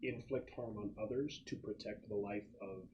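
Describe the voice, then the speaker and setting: A man running a tabletop role-playing game, explaining things in an improvised, halting way. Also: fairly low pitch, fairly even intonation, lo-fi sound. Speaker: game master. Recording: clearly noisy home recording.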